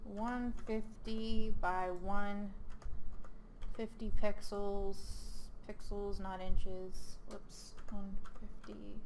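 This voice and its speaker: A woman hosting a screen-recorded software tutorial, talking casually with many ums and self-corrections, her voice a little hoarse. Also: mid-range voice, level tone, very slow, background noise. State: losing her voice. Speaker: host.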